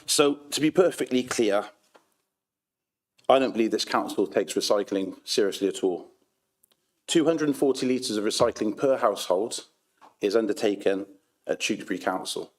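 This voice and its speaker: A man reads out his question to a council meeting, his voice sitting slightly low. He is persistent and keeps going when the chair tries to cut him off. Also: normal speed, long pauses. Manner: persistent, pushes on when interrupted. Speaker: member of the public asking a question.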